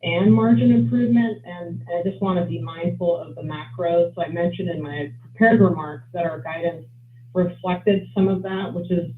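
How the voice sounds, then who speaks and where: A finance executive speaks in a measured, businesslike way on an earnings call. The medium-pitched voice comes over a narrow phone line with noticeable room echo and faint noise. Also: steady tone. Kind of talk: prepared remarks and answers on an earnings call. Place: conference call. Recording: roomy, noisy phone-line conference call audio.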